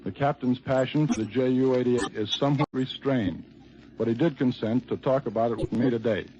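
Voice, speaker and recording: Wry American radio reporter, noisy vintage recording. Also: male voice, mid-range voice, moderate pace, a little mellow. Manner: wry, dryly humorous. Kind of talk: scripted 1940s radio war report and interview. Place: on an airfield flight line. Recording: noisy, muffled 1940s radio broadcast.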